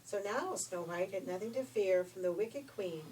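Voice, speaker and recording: A woman's voice: medium pitch, lively intonation, normal speed, darkish tone. An older woman, a grandmother telling a fairy tale informally in a conversational, folksy, emphatic way. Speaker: grandmother storyteller. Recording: distant mic, faint background noise.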